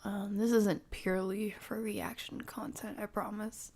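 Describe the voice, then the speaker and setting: A woman streamer giving casual reaction commentary, measured and hedging as she thinks aloud. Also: mid-range voice, level tone, a little mellow.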